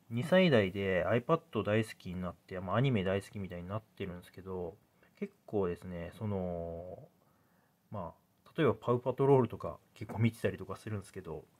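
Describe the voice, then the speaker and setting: A man in his thirties speaks in a fairly low voice. He explains things casually, like a parent vlogging to viewers. His delivery is hesitant, with phrases that trail off as he thinks aloud.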